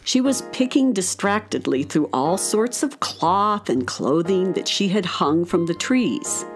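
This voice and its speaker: A woman narrating a scripted children's radio story in an animated storytelling manner. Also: fairly low pitch, measured pace, faint music behind.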